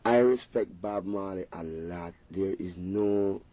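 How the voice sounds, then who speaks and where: A man's voice: deep voice, takes it slowly, mellow. A Jamaican man, a reggae singer being interviewed, talking in an earnest, rambling way with patois phrasing. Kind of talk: casual interview talk.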